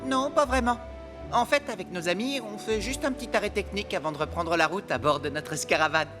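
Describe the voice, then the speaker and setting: A performer voicing a cheerful, curious young child hero in a French animated series, eager and expressive, full of exclamations. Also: mid-range voice, fairly quick, music in the background, close to an everyday voice. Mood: friendly.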